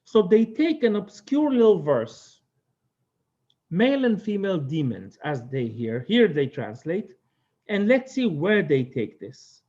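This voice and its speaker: A high-pitched man with an Israeli accent leads a study session, speaking earnestly and thoughtfully and pausing often. The recording sounds dull and lo-fi. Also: big pitch swings, dark tone. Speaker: teacher. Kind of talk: text study lecture.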